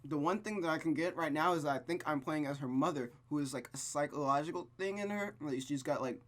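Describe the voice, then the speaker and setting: A man's voice: fairly high pitch, brisk. Rambling, self-deprecating man reading a game. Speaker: host reading a game aloud. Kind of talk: let's play reading with casual commentary.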